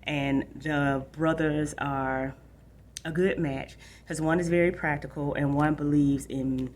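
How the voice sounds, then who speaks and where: Female book-show host, low register, direct explanatory delivery for young readers, slightly noisy recording. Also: fairly even intonation, slow pace, a little mellow. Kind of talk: informal book talk and read-aloud for children.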